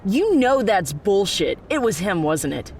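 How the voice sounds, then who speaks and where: A woman's voice: medium pitch, very animated, a little slow, darkish tone, a little hiss or hum. A woman playing a fierce, distrustful, determined character in an audio drama, blunt and confrontational. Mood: angry, accusing.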